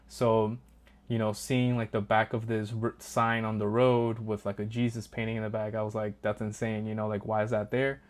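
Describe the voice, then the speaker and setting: A man hosting his own channel, talking casually through his street photos in a relaxed, rambling way with frequent ums and restarts. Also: mid-range voice. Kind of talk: casual talk over a photo slideshow.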